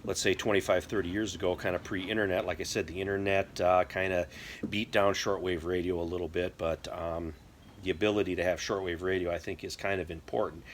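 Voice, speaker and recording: A middle-aged American man explaining things casually to camera in a halting, folksy way. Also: low-pitched, steady tone, a little hiss or hum. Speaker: prepper video host. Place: indoor workshop or garage.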